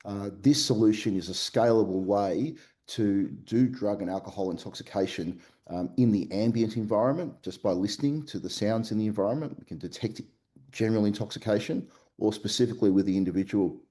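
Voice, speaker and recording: A man with an Australian accent, a company CEO answering podcast interview questions remotely, halting often with fillers and restarts. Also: on the low side, warm tone. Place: remote call from Melbourne.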